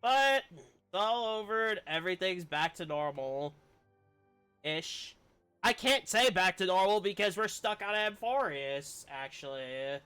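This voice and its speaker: Bright, slow-paced male game streamer. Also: sits high, expressive, clean tone, soft background music. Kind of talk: casual live gameplay commentary.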